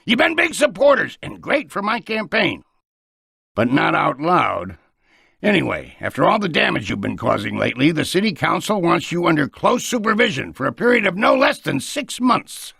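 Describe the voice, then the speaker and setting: Raspy, high-pitched brash city mayor. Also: male voice, very animated, moderate pace, bright, forceful tone, no room sound, studio quality, full character voice. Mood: sly, schmoozing. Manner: theatrical, swinging from sly schmoozing to bellowing. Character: brash, glib city mayor, self-serving politician. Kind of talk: video game voice acting.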